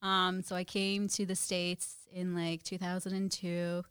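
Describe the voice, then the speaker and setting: A woman's voice: on the low side, flat delivery, measured pace, fairly bright tone, smooth, no room sound. A woman in her twenties from Guyana, a podcast guest talking in a bubbly, casual way and laughing often. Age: 20s. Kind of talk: casual interview chat.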